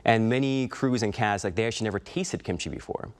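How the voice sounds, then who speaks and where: A man's voice: fairly low pitch, fast. A man with a slight Korean accent, an actor speaking as an interview guest, thoughtful and hesitant with many fillers. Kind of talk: interview conversation.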